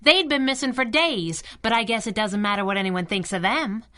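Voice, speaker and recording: An actress playing a feisty young working-class crime-fighting sidekick in a 1930s radio adventure, blunt and sassy. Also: female voice, a little high, normal speed, pushed and bright. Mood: bitter, sardonic.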